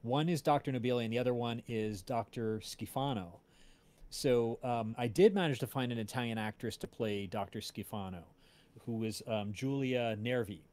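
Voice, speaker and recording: A man's voice: medium pitch, moderate pace, a little mellow. Rambling male stream host. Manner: rambling, searching for words. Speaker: podcast creator and stream host. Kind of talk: casual livestream chat.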